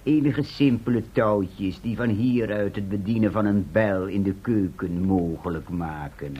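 Man playing slow, low-voiced inventor. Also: close to an everyday voice. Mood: modest, deliberate. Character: modest, precise medieval inventor. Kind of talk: old Dutch radio drama acting. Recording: dull old radio recording, faint noise.

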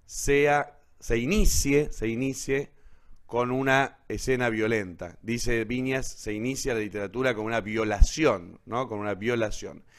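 A man's voice: medium pitch, measured pace. A man with an Argentine accent hosting an informal literature talk online, explaining didactically and often checking with tag questions. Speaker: host introducing literature.

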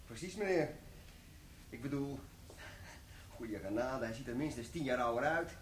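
An actor playing a polite, deferential assistant in a radio play. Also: male voice, mid-range voice, moderate pace, echoey room, recorded from a distance, slight background noise, lightly acted. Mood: wry.

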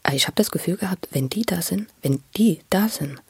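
A person, speaking haltingly and emotionally in a breathy, creaky voice that often drops to a whisper, repeating words and searching for the next one. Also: mid-range voice, lively intonation, a little mellow, dry recording.

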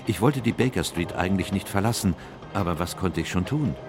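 Victorian gentleman narrator, low voice, composed, scripted radio drama, music behind. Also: lively intonation, brisk. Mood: composed, reflective. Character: composed Victorian gentleman doctor recounting a detective case.

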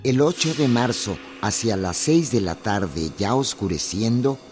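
Un hombre que narra con solemnidad y mesura una crónica revolucionaria para una radionovela. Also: male voice, medium pitch, lively intonation, natural voice. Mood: solemn. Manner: measured, declarative. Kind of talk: scripted radio drama narration. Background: music.

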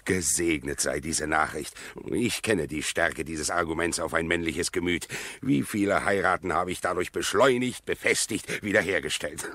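An actor in a 1970s German radio drama playing an eccentric, self-important marriage mediator who speaks in a declamatory, self-satisfied way. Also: male voice, deep voice, moderate pace, few pauses, a bit bright, dry recording.

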